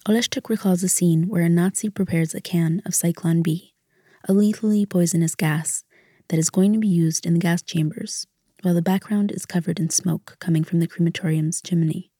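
A woman's voice: lowish voice, fairly even intonation, fairly quick, warm tone, husky, no room sound, studio quality. A woman reading scripted documentary narration for a video essay as its narrator.

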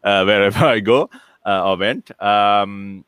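A man in his late thirties from Sri Lanka, a guest chatting casually on a video call, with a relaxed, rambling manner, restarting and chuckling often. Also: lowish voice, measured pace, clear, resonant tone, dry recording. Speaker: interview guest, entrepreneur. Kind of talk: casual conversational interview.

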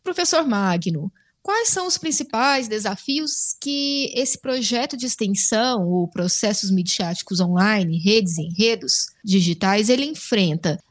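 A woman with a Brazilian accent and a medium-pitched voice acts as interviewer. She is courteous, addressing guests by name as she frames her questions. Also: expressive, unhurried. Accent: Brazilian Portuguese. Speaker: host, interviewer.

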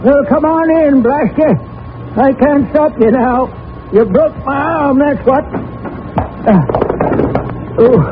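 An actor playing a greedy, short-tempered farmer and killer with folksy, blustering country speech in an old radio crime drama. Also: male voice, very high-pitched, slow pace, pauses often, a little hiss or hum. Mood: defiant, blustering. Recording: tinny 1949 radio recording, music.

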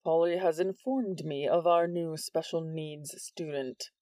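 A woman voicing a menacing male vice principal who speaks slowly in a cold, clipped way. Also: on the high side, fairly even intonation, dark tone, dry recording, close to an everyday voice. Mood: cold menace.

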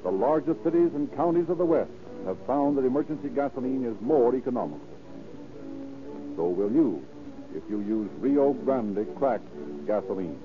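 A man announces in a formal, emphatic 1930s radio manner. His voice is medium in pitch, on a muffled old recording with music behind it. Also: lively intonation, normal speed. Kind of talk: 1930s radio advertising and scripted narration.

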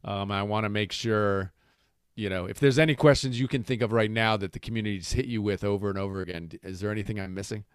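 A man's voice: lowish voice, fast, clear, resonant tone, no echo. A man hosting a casual interview, chatting informally and with enthusiasm. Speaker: interviewer, host.

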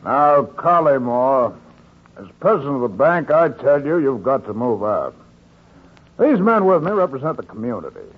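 A man's voice: mid-range voice, sing-song, moderate pace, breathy edge. A man playing a threatening, domineering bank president in a blustering, oratorical 1940s radio style. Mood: stern, threatening. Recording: muffled 1944 radio drama recording.

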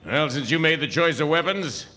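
A man's voice: on the high side, normal speed, bright, forceful tone. A man playing a wry, crusty older traveling wizard with folksy cowboy ways in a comic stage show. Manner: wry, folksy, hesitant asides. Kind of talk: comic audio theatre acting before an audience. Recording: reverberant live theatre hall.

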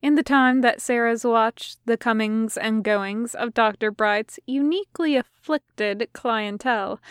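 A woman reading scripted fiction as narrator, with a measured, wry storytelling manner. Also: a little high, clear, resonant tone, no echo, clean studio sound. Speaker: podfic reader.

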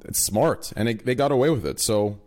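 Rambling young streamer, talks to chat. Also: male voice, medium pitch, brisk, a little mellow. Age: 20s. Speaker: streamer, host.

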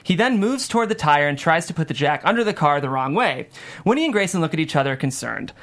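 Narrator: woman, rapid pace, scripted screenplay directions. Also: deep voice, big pitch swings.

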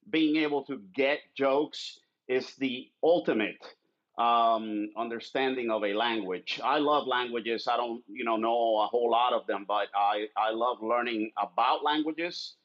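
A man's voice: mid-range voice, a bit bright, highs cut off. A man originally from Cuba who speaks English almost natively, chatting on a podcast with frequent restarts and fillers. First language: Spanish. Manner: hesitant, many restarts and fillers. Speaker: podcast co-host. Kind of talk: casual chat.

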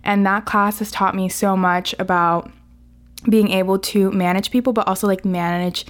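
A woman's voice: mid-range voice, fairly even intonation, moderate pace. A young woman in her late teens, a native Spanish speaker, chatting informally as a student guest in a casual podcast interview, often saying "like". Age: late teens, high school student.

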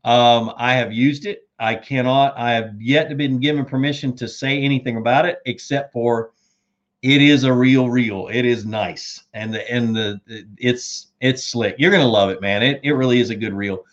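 A man hosts a laid-back fishing chat, answering viewers' questions in an easygoing, conversational way. His voice is mid-pitched and getting hoarse. The audio is a little dull and lo-fi. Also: steady tone, normal speed. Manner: laid-back, says dude and man, reads viewer comments aloud. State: losing his voice. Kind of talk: casual live chat.

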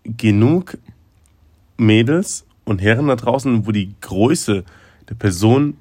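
A man's voice: on the low side, lively intonation, takes it slowly, dry recording. A young man co-hosting a podcast, talking casually and hesitantly with lots of fillers. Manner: hesitant, many fillers, laughs loudly. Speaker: podcast co-host. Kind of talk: casual chat.

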